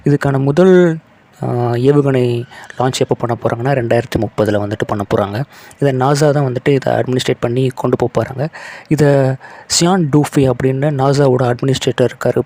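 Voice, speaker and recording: A man's voice: medium pitch, a little mellow. A man presenting tech news in an informal, explanatory way, conversational and peppered with filler words and English terms. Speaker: tech news video host.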